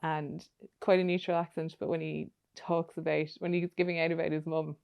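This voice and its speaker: A young woman hosting a podcast, her voice very low and mellow. She asks long, chatty, rambling questions full of "kind of" and "you know." She speaks into a studio mic. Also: level tone, brisk, creaky, clear, resonant tone. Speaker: podcast host. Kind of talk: casual interview chat.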